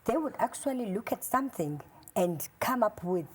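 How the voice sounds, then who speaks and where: A woman in conversation, softly adding comments and affirmations. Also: medium pitch, lively intonation, moderate pace, husky. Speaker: co-host or interviewer.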